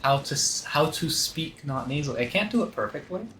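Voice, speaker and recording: A man's voice: a little high, expressive, fairly quick, background noise. A man streaming a game, chatting casually and rambling with restarts as he thinks aloud. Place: room with other people present. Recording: roomy, off-mic, loud game music behind.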